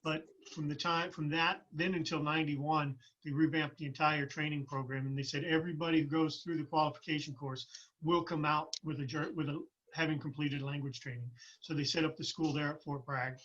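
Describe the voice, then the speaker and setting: A man in his fifties speaks reflectively as a guest, often restarting his sentences. His voice is somewhat high, bright and husky. The audio comes through dull and lo-fi. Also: steady tone, a little fast. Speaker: podcast guest, military retiree. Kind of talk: casual conversation.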